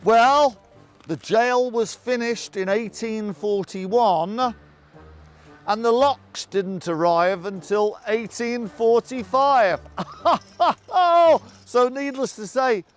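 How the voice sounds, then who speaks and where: A middle-aged man telling local history as a town crier, in a jovial, hammy, exclamatory manner full of rhetorical questions and dramatic pauses. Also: very high voice, faint music behind. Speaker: town crier storyteller and guide. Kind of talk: theatrical historical storytelling to camera.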